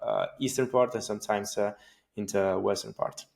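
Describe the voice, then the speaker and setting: A man co-hosting a podcast, calmly asking the guest questions in casual chat. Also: lowish voice, moderate pace, vocal fry. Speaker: podcast co-host.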